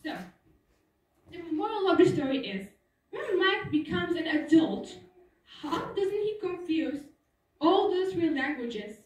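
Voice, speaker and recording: High-voiced teenage girl in a hall. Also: very animated, takes it slowly. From Turkey. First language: Turkish. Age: teens. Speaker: student speaker. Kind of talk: prepared school talk. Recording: echoey hall, voice from far off.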